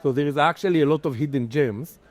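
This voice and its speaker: A man with an Israeli accent, speaking as a venture investor on a conference panel, animated, with many "like" and "you know" restarts. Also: a little high, fast. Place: conference stage.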